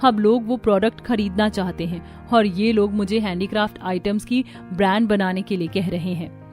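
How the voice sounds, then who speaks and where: A woman's voice: mid-range voice, fairly even intonation, moderate pace, a little mellow, soft background music, natural voice. A woman voicing a sweet, dutiful young housewife daughter-in-law in a cartoon. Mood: explaining.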